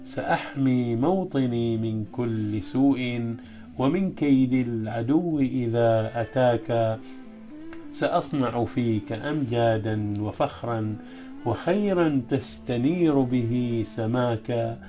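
A male poet interviewed as a guest, speaking hesitantly and then reciting his poems in a slow, chanting cadence. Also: mid-range voice, dark tone, faint music behind.

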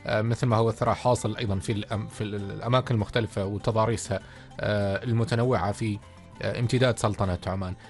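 A man with an Omani Arabic accent co-hosting a radio talk show, relaxed and full of hesitant fillers. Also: fairly low pitch, rapid-fire, soft background music. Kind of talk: casual radio chat.

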